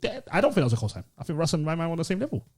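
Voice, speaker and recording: A man's voice: on the high side, very animated, rapid-fire, a little mellow. A man with a London accent co-hosting a podcast in a casual, slangy debate style. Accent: British, London. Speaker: podcast co-host.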